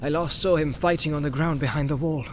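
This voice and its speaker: A man's voice: a little high, steady tone, brisk, a little hiss or hum, close to an everyday voice. A man voicing a valiant, weary warrior leader in a fantasy audiobook, with grave, archaic, formal speech. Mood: grave, steadfast.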